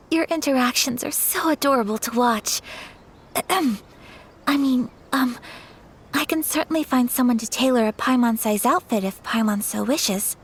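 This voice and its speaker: A young woman voicing a noble lady in a video game, speaking politely, formally and gently. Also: sits high, measured pace, breathy edge. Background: faint background music.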